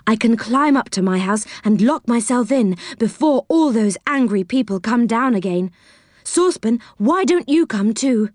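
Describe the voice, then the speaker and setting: A timid, kindly female storybook character voiced lightly by a narrator, a woman. She speaks earnestly in a slightly lifted, animated voice. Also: a little high, normal speed, no room sound, studio quality, pitched above the performer's own voice. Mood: anxious.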